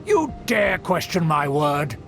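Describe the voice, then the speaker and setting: A man's voice: fairly high pitch, sing-song, slow pace, vocal fry, over music, heavily acted. A man playing a haughty, scheming nobleman in a video game, with pompous, grandiose, formal speech. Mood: indignant. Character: haughty, indignant nobleman scheming to restore his clan's rule. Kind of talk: theatrical video game voice acting.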